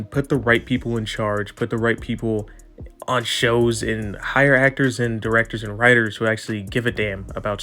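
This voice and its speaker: A man's voice: medium pitch, a bit bright. A man with a stuffy, nasal voice from allergies, giving earnest, rambling opinion commentary with frequent restarts. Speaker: video commentator.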